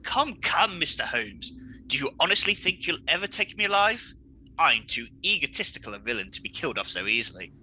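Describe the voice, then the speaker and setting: A man's voice: a little high, very animated, brisk, bright, forceful tone, slight background noise, full character voice, poor audio. A man playing a flamboyant, egotistical villain in a comedy radio play, pompous, petulant and melodramatic. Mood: gleefully defiant.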